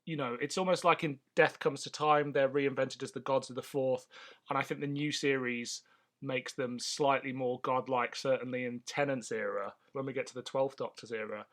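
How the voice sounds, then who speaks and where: A man's voice: medium pitch, steady tone, normal speed, fairly bright tone. A man chatting casually as a podcast co-host, with a wry, opinionated way of talking. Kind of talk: casual chat.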